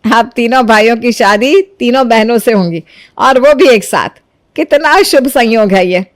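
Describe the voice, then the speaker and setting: A woman's voice: fairly high pitch, a little slow, no echo, studio quality, close to an everyday voice. A woman voicing a strict middle-aged mother-in-law who speaks firmly and commandingly. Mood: pleased. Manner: firm, commanding.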